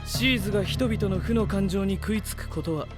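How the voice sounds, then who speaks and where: A man's voice: high-pitched, brisk, loud music behind the voice, natural voice. A man playing a confident, theatrical young scientist in an anime-style voice drama, speaking in grand, self-assured declarations. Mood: proud, showy.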